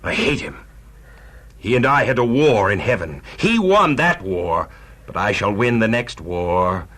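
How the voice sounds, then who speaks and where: Gloating, forceful Satan with sweeping pitch. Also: male voice, sing-song, unhurried, full character voice. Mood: defiant, menacing. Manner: theatrical, taunting, domineering. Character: gloating, power-hungry male Satan tempter, mocking and imperious. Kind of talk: religious radio drama acting.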